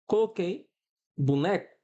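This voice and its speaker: Guy in his thirties w brazilian accent, calm teacher voice walking thru code, lots of "ok?". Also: sits high, measured pace, highs cut off. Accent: Brazilian Portuguese. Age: 30s. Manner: patient, step-by-step explaining with everyday examples; checks in with listeners often. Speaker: programming instructor. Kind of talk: screen-recorded coding lesson.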